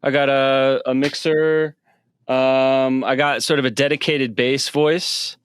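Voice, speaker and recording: A man's voice: medium pitch, flat delivery, slow pace, slight background noise. A man, a music producer, talking casually in an interview about his studio setup, with many fillers and restarts. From United States. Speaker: guest musician. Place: home studio with synth rack.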